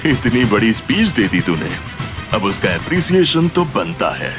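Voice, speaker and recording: A man's voice: on the low side, sing-song, measured pace, music in the background. A man playing a spiteful, greedy middle-aged uncle in an audio drama, theatrical and sneering. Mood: gloating. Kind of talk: Hindi audio drama acting.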